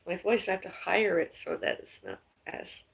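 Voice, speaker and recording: A woman with a raspy voice, rambling casually on a live stream from her home kitchen. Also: fairly low pitch, sing-song, normal speed. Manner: rambling, chatty, calls out to someone in another room. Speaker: vlogger.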